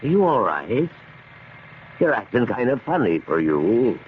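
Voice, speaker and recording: A man's voice: medium pitch, sing-song, unhurried. A man playing an amiable, dutiful American police sergeant in a 1970s radio drama, plain-spoken and folksy. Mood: concerned, genial. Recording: muffled, hissy 1970s radio recording.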